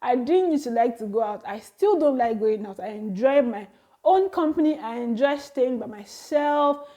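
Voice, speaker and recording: High-voiced Nigerian woman in her thirties. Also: moderate pace. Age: 30s. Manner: chatty, laughs often, animated exclamations. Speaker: vlogger. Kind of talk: casual talk to camera.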